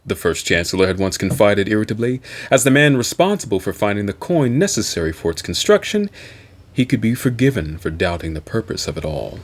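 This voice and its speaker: A man reading a science fiction novel aloud as an audiobook narrator, in a measured, dramatic storytelling delivery. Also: on the low side, very animated. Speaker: audiobook reader.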